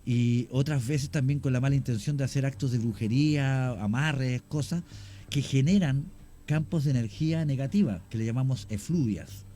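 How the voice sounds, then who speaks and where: A man's voice: medium pitch, normal speed, warm tone. A man with a Chilean accent, a radio guest giving long explanations full of fillers and restarts. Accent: Chilean Spanish.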